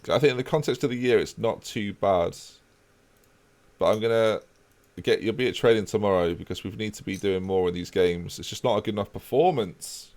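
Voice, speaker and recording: A man casually chatting with his live-stream viewers while playing a football management game. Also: lowish voice. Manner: relaxed, chatty, talks to chat while playing.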